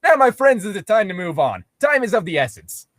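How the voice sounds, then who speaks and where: A man's voice: sits high, sing-song, brisk, a bit bright, no room sound, clean studio sound, pitched above the performer's own voice. A man voicing an earnest griffin companion with a put-on Brooklyn accent.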